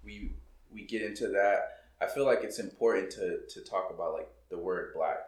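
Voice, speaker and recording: A man's voice: sits low, moderate pace, darkish tone, reverberant. A man from Boston, a guest on a casual podcast, talking in a loose, reflective way with many fillers. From Boston, United States. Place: coworking room.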